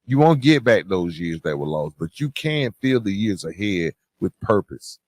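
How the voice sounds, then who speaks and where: Male podcast host, very low voice, earnest and emphatic motivational talk, close dry mic. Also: sing-song, normal speed, dry recording. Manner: earnest, emphatic, repeats phrases for stress. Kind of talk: motivational advice monologue, conversational. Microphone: close podcast microphone.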